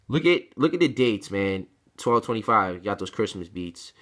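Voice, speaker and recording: A man's voice: lowish voice, expressive, normal speed, a bit bright. A man in his twenties talking casually to camera in an emphatic, slangy, rambling way, recorded indoors on a laptop mic. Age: 20s. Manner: slangy, emphatic, rambling street-style talk. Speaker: rapper and video blogger. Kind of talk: casual vlog talk to camera. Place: home room with bookshelves, indoors. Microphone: laptop webcam mic at arm's length.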